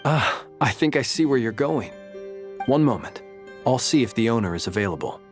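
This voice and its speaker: A man playing a courteous, composed gentleman in a video game, speaking with polite, dry understatement. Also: mid-range voice, lively intonation, moderate pace, soft background music, close to an everyday voice. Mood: calm, obliging. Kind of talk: video game cutscene dialogue.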